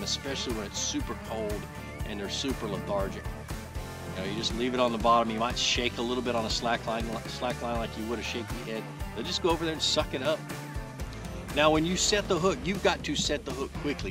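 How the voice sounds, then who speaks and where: A man in his thirties talks through his technique at an easy, relaxed pace, often stopping to restart a sentence. He is out on a lake in a kayak, picked up by an action camera fixed to the boat. Music plays clearly underneath his voice. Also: medium pitch. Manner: relaxed, explains while casting, frequent restarts. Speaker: fishing video host. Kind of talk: casual how-to narration. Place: kayak on an open lake outdoors. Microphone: action camera mounted on the boat.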